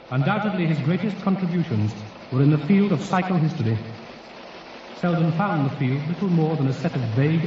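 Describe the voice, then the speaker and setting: A grand formal encyclopedia reader for radio sci-fi, slightly high voice, super echoey, noisy old recording. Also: male voice, distant mic. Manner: formal, measured, declamatory. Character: solemn encyclopedia voice reading entries. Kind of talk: scripted narration in a radio drama. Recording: echoing, dull, noisy radio drama recording.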